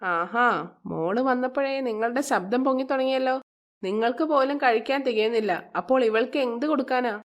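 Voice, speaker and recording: A woman voicing a bitter, resentful middle-aged stepmother in a moral story, with a sharp, complaining, sarcastic manner. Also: on the high side, normal speed, natural voice. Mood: angry, scolding.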